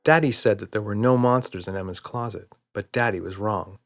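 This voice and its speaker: A man's voice: lowish voice, a little fast, over loud music. A man reading a children's audiobook as narrator, in scripted storytelling style.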